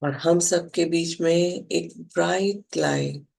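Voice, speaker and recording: An Indian meditation guide speaking calmly and soothingly in short, measured phrases with pauses between them. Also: medium pitch, vocal fry.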